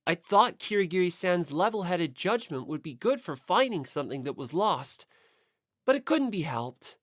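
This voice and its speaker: A woman's voice: on the low side, big pitch swings, normal speed, no echo, studio quality. A woman reading scripted first-person story narration as an audiobook narrator.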